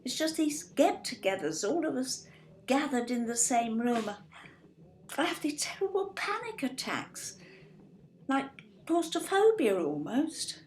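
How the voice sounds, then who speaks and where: A high, very animated English woman's voice, a fretful mother in a radio play. She sounds flustered and apologetic, and the sound is roomy. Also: unhurried. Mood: nervous, apologetic. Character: anxious, fretful mother of a sullen son. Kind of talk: audio drama acting. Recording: roomy echo, faint background noise.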